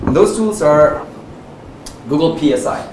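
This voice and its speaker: A man's voice: a little high, animated, measured pace, a little mellow. Man from Nepal giving an echoey meetup talk. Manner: conversational, frequent "uh" and "right?" tags. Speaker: conference speaker. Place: meetup venue, audience present. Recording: echoey room from far off, noisy.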